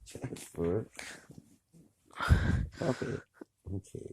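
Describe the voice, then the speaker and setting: A man in his sixties with a rough, worn voice, talking slowly and easily in a casual chat at home. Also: on the low side. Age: 60s. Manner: slow, relaxed storytelling with drawn-out fillers.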